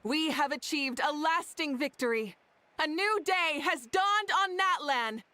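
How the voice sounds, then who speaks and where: A woman's voice: high-pitched, animated, unhurried, sharp tone, no room sound, exaggerated voice. A woman voicing a commanding, formal female leader in a video game. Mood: solemn, rousing. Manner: formal, oratorical. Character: commanding, rousing female leader addressing her warriors.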